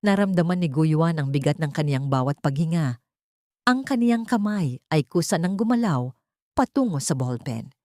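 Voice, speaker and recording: A woman's voice: low voice, animated, moderate pace, warm tone, dry recording, studio quality. A woman reading a dramatic scripted audio story as its narrator.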